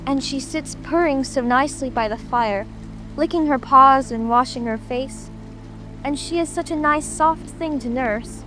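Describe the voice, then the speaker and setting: A woman's voice: sits high, measured pace. A woman playing a polite, curious little girl who speaks earnestly and apologetically in a dramatic audiobook. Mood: eager. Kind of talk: dramatic audiobook character reading. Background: music, rain ambience.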